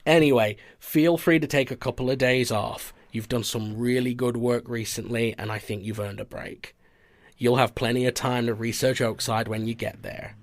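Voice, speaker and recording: A man's voice: mid-range voice, fairly even intonation, moderate pace, no room sound, close to an everyday voice. A man playing a protective, earnest investigations boss in an audio drama, speaking conversationally. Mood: friendly, encouraging. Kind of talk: audio fiction acting.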